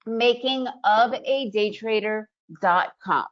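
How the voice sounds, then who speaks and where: A woman's voice: a little high, slow, muffled recording. A woman raised in the US, a Russian speaker, giving an upbeat, chatty webinar presentation and often checking in with "right?". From Soviet Union, raised in the US. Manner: upbeat, chatty, leans on "right?" tag questions.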